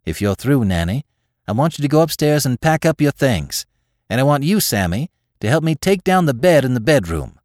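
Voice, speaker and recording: Storybook farm wife, played by a man: plain-spoken, firm, homely, lively melodic delivery. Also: lowish voice, a little fast, dry recording, clean studio sound, lightly acted. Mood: calm, firm. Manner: homely, firm, rustic grammar. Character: resolute middle-aged farm wife and mother, rural plain-spoken.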